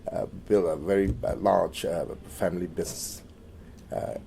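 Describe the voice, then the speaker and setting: Low gravelly man from Malaysia. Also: steady tone, normal speed, a little hiss or hum. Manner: hesitant, many fillers and restarts; trails off quieter at phrase ends. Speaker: interviewee. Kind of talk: oral history interview.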